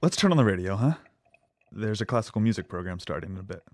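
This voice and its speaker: A man's voice: fairly low pitch, expressive, fast talker, a little hiss or hum, close to an everyday voice. A man playing a nebbish lab assistant in an audio drama, hesitant, fussy and apologetic in naturalistic dialogue. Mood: uneasy, placating.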